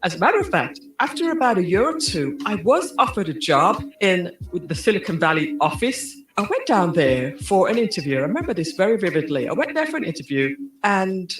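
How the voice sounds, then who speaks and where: A woman from Birmingham, England, with a Birmingham accent, telling stories as a guest in an upbeat, animated way. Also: lowish voice. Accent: Birmingham English. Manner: animated, upbeat storyteller with a quick laugh. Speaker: guest, digital marketing strategist. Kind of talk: casual interview chat. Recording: roomy echo.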